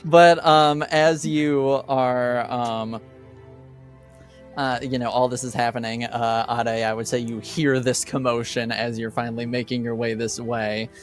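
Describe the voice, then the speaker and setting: A man improvising narration as game master of a tabletop game, with a halting, hesitant delivery. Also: medium pitch, level tone, soft background music.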